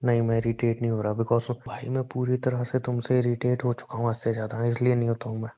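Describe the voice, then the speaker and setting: A man's voice: mid-range voice, monotone, a little fast, warm tone. A young man joking and teasing his girlfriend in a casual phone call. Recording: dull lo-fi call recording.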